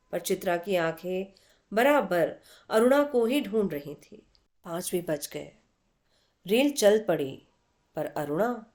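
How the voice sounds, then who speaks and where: A woman reading a literary story aloud in an expressive, unhurried manner with dramatic pauses. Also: medium pitch. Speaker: story reader.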